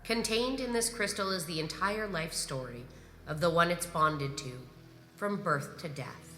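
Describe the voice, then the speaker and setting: An actress playing a composed, commanding imperial grand marshal in a sci-fi audio drama, measured and probing. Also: female voice, on the low side, sharp tone. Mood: ominous, measured. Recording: echoey hall reverb, music underneath.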